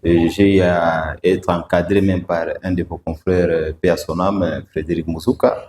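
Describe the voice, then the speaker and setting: A man, a guest answering interview questions in a measured, hesitant way with many fillers. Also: sits low, level tone. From Democratic Republic of the Congo. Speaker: guest, provincial road-safety director. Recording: echoey room, faint background noise.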